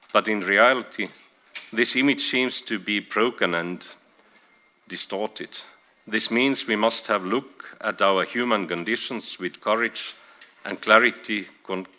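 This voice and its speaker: A man from Estonia with an Estonian accent, an archbishop giving a measured, formal conference speech. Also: on the low side, pushed and bright. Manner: measured, reads from prepared text with small restarts. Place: conference hall.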